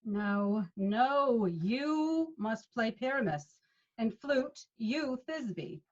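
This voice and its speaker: A woman's voice: a little high, lively intonation, drawn-out words, full character voice. A woman playing a patient male leader of amateur players in a Shakespeare read, instructing expressively over a video call. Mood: directing. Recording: dull video-call audio.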